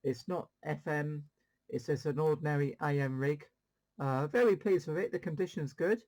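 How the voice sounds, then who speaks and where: A man's voice: a little high, moderate pace, darkish tone. A man hosting a casual CB radio demonstration, rambling along and repeating his phrases. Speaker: CB radio channel host.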